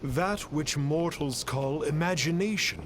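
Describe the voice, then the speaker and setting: A man's voice: on the high side, a little slow, a bit bright, a little hiss or hum. An actor playing a masked, grandiose charlatan mystic in a video game, pompous and archaic in his formal wording. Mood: lofty, pompous. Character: masked male charlatan mystic, grandiose, smooth-talking, pious.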